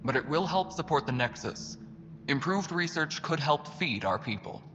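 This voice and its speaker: A man's voice: on the high side, animated, moderate pace, close to an everyday voice. A man acting as an earnest, harried space station official in a video game, pressing his case. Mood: insistent. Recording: dull game audio, long reverb, faint noise.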